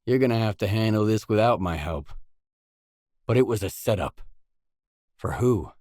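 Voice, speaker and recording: A man playing a gruff, pragmatic stalker mercenary in a video game, speaking in a terse, matter-of-fact way. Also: lowish voice, animated, moderate pace, a little mellow, no echo, clean studio sound, natural voice. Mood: terse, composed. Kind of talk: video game dialogue acting.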